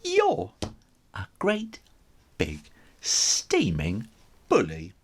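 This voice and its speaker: A man's voice: fairly low pitch, huge pitch swings, drawn-out words, voice pushed down, heavily acted. A man voicing a plucky young male kitten superhero in a children's storybook, theatrical and cheekily defiant.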